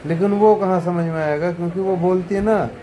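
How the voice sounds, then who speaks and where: A man giving a scornful, heated commentary, now muttering and now bursting out loudly. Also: high voice, steady tone, brisk. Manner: scornful and heated, rising to loud outbursts. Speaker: online commentator. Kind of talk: ranting video commentary.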